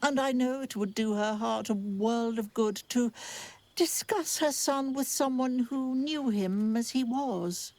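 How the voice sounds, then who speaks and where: An actress playing a wizard's tart, disapproving companion in a fantasy game, dry, sardonic and refined. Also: female voice, fairly high pitch, unhurried, a little mellow. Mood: wistful, courteous. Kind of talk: dramatic game voice acting.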